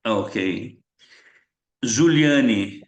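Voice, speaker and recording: A man's voice: mid-range voice, expressive, extremely slow. A man facilitating an online retreat meeting, calling participants by name and welcoming each one briefly. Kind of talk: casual online group meeting. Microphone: video call.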